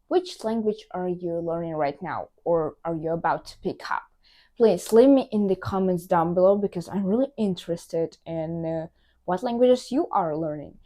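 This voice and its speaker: A woman's voice: mid-range voice, a little mellow. Upbeat woman vlogger giving tips. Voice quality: slight non-native grammar slips. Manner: upbeat, encouraging, talks straight to viewers. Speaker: language-learning vlogger. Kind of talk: direct-to-camera tips vlog.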